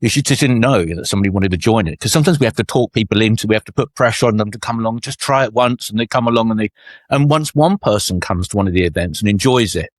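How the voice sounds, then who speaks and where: A man's voice: lowish voice, fast talker, no room sound, studio quality. A man co-hosting a podcast, a cruise director chatting casually and agreeably about old shipboard teams. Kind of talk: casual conversation.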